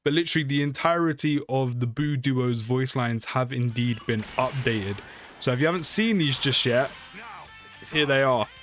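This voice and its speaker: A man's voice: mid-range voice, normal speed, a bit bright. A man giving casual game update commentary in a chatty way, often repeating phrases for emphasis. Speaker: gaming video creator. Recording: music behind the voice. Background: music.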